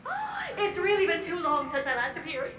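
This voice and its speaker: Woman voicing a very high bubbly little creature. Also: a little fast, distant mic, noisy background, close to an everyday voice. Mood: delighted, showy. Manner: showy, singsong, theatrical. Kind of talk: video game character acting.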